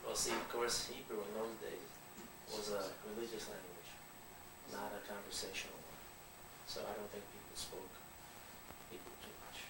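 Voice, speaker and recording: A man's voice: fairly low pitch, lively intonation, normal speed, long pauses. Man asking questions in an echoey room. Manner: prompting questions, affirming replies. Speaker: family interviewer. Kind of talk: home video family interview. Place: family home. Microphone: home video camera from across the room. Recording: echoey, distant, noisy home video.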